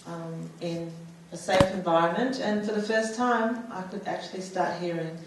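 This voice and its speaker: A woman's voice: fairly low pitch, normal speed, background noise. A woman in her forties, born in the United States, telling her personal story as a panel speaker, with many fillers and restarts. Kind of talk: personal testimony at a public talk. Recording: echoey hall, mic far off.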